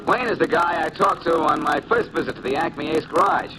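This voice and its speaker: An actor playing a confident, wisecracking amateur detective who explains things breezily, in 1940s radio drama style. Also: male voice, on the high side, brisk, over music, natural voice. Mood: matter-of-fact explaining. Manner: breezy, self-assured explaining. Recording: noisy vintage 1940s radio recording.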